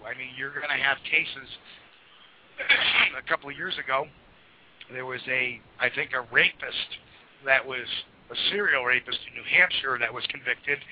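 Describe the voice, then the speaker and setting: A hoarse man hosting a casual call-in radio show, rambling with plenty of fillers and restarts. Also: medium pitch. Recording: muffled lo-fi radio broadcast.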